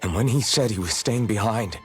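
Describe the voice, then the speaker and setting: A man's voice: on the low side, a little fast, lightly acted. An actor playing an earnest, resolute young rebel swordsman in a video game, direct and sincere. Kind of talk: English video game dub acting. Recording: music behind.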